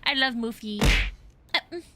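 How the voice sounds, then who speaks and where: A woman's voice: fairly high pitch, animated, normal speed, background noise. A woman streaming a game from home with a stuffy nose, chatting casually and teasing with frequent giggles. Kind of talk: casual gaming commentary.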